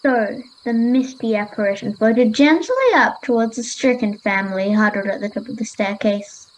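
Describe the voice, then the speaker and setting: A woman's voice: fairly high pitch, fairly even intonation, normal speed, natural voice, poor audio. An Australian girl of about ten playing a haughty, dismissive Victorian schoolgirl storyteller in an amateur radio play. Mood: spooky storytelling. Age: 10 to 11, child.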